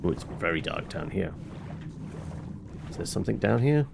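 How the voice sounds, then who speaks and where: A man's voice: low-pitched, lively intonation, normal speed, creaky, background noise. A man giving casual commentary on his own video game, muttering to himself as he plays. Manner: muttering to himself while playing. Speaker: gamer narrating own playthrough.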